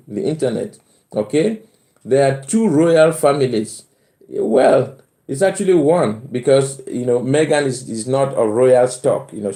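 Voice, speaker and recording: Mellow Cameroonian man in a roomy space. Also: mid-range voice. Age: middle-aged. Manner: chuckles often, repeats phrases for emphasis. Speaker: live stream host. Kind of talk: casual live chat, reading out and answering comments. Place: bedroom at home. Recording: roomy indoor echo.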